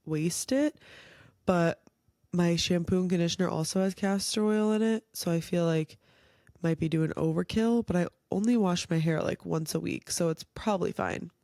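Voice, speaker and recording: A young woman hosting a casual solo podcast, chatty and rambling, her voice a little nasal and raspy from a cold. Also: fairly low pitch, warm tone, no room sound, studio quality. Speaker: podcast host.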